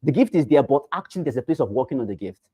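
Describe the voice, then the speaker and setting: A man's voice: medium pitch, animated, racing, husky, dry recording. A man with a Nigerian accent giving a training talk to realtors, repeating points and asking rhetorical questions. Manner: swings between loud bursts and quiet asides. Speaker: real estate trainer.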